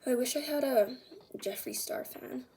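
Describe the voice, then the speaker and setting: A woman's voice: high-pitched, unhurried, warm tone, faint music behind, poor audio. A woman chatting casually through a makeup tutorial in an informal, meandering, self-deprecating way. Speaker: beauty vlogger.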